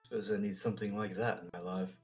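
A man's voice: on the low side, fairly even intonation, fast talker, a little mellow, faint music behind, natural voice. A man playing a troubled, guarded, solemn adventurer in an improvised fantasy audio drama, speaking hesitantly and formally. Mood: hesitant, uneasy.

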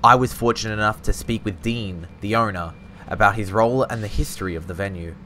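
A man giving measured, scripted documentary narration. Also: lowish voice, bright, forceful tone, a little hiss or hum.

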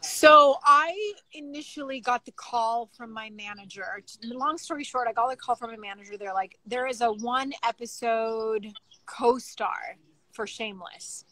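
Bright, tense-voiced woman guest, wry, native Russian speaker, phone call. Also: on the high side, a little slow. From former Soviet Union. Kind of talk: casual video-call chat. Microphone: phone on a video call.

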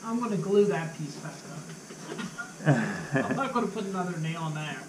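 High-voiced man, distant and echoey. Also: very animated, normal speed. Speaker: homeowner. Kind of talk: casual chat. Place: indoors in a house. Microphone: camera across the room. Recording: distant, echoey, noisy room pickup.

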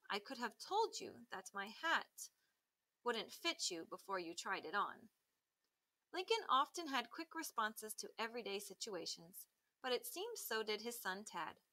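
Teacher lady reading a kids article out loud, highish voice, really bright and clear emphasis. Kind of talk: read-aloud of a children's magazine article.

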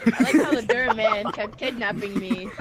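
A man's voice: high voice, moderate pace, a loud crowd. A young man chatting casually while improvising a skit with gaming friends. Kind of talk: casual improvised skit chat in a social VR game.

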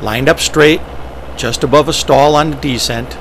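Guy with a gravelly voice talking thru flying steps, matter of fact, engine noise behind him. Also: medium pitch, normal speed, low-quality recording. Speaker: pilot presenting ski flying. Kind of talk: instructional narration, explaining step by step. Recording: steady engine and vehicle noise.

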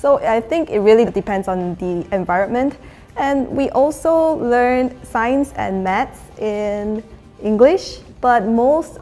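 A woman's voice: on the high side, darkish tone. A woman from Malaysia explaining her view in a considered way as a guest on a panel. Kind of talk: casual panel discussion.

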